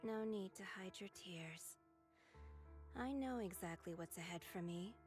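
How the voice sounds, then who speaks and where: A woman playing a cold, resigned young antagonist in a video game, measured and aloof. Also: medium pitch, darkish tone, natural voice. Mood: resigned, cutting. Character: cold, resigned young woman, loyal member of villainous faction. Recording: faint background music.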